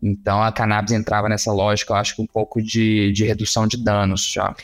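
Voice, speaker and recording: A man's voice: lowish voice, completely flat delivery, quick pace, soft background music. A man from Minas Gerais with a Brazilian accent, explaining things casually as an interview guest, often searching for words.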